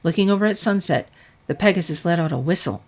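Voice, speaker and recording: A woman's voice: lowish voice, lively intonation, quick pace, darkish tone. A woman reading a scripted story aloud as its narrator. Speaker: fan fiction reader, narrator.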